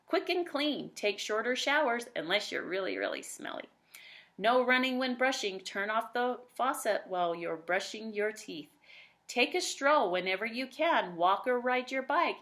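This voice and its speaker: A woman's voice: a little high, big pitch swings, moderate pace, a bit bright. A woman reading aloud expressively in the style of a children's storybook.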